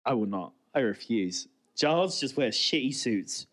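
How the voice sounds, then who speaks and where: A man's voice: medium pitch, expressive, a little slow, a bit bright. A man co-hosting a podcast, trading informal, teasing, colloquial commentary on television. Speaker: podcast co-host. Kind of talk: casual banter, TV episode commentary.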